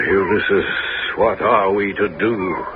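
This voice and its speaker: An actor playing a forceful Greek king bent on war in a 1970s radio drama. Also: low voice, very slow, over loud music. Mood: insistent. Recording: dull, faintly noisy old radio recording.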